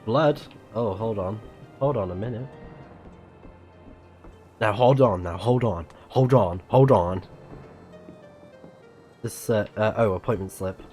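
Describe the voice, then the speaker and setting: Casual male game streamer over soft music. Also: fairly low pitch, takes it slowly, pauses often. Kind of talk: casual live playthrough commentary.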